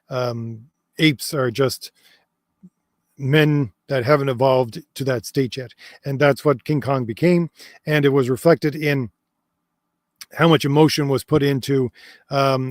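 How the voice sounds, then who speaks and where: A man's voice: mid-range voice, no room sound, studio quality. Man with a tired, fading voice. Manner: hesitant, many fillers and restarts, reads comments aloud. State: losing his voice. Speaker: host answering viewer comments. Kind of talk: casual livestream chat.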